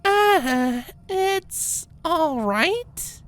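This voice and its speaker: A man voicing a gentle, awkward female pony princess with a soothing, hesitant manner in a storybook reading. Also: very high-pitched, very animated, drawn-out words, voice pushed up, heavily acted.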